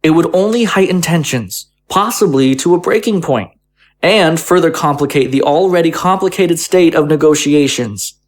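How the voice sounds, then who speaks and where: A man's voice: on the high side, moderate pace, natural voice. A man playing a conciliatory government official in an audio drama, arguing in a formal, articulate, persuasive manner. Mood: argumentative. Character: smooth-talking, conciliatory male government official, argumentative.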